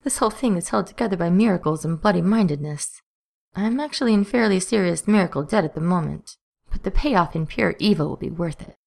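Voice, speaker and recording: A woman voicing a sardonic male demon, talking in a dry, quick, wry way. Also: fairly low pitch, animated, gravelly, a little mellow. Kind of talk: multivoice podfic reading.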